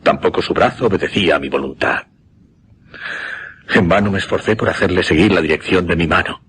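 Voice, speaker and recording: An actor playing an intense mesmerist who recounts a macabre experiment in a suspense radio drama, with a Castilian accent and deliberate, emphatic delivery. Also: male voice, low voice, a bit bright, slight background noise. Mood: grave, insistent. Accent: Castilian Spanish.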